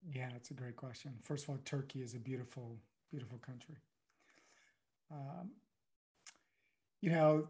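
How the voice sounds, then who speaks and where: A middle-aged man from the United States, a guest speaking reflectively in an interview, pausing often and filling with "you know". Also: a little high, a little mellow. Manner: thoughtful, halting, many "you know"s.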